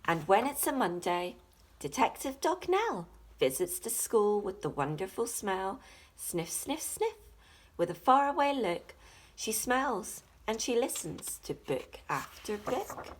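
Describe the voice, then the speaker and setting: A woman teacher reading a rhyming children's picture book in a dramatic, deliberate, expressive way. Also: mid-range voice, slightly rough.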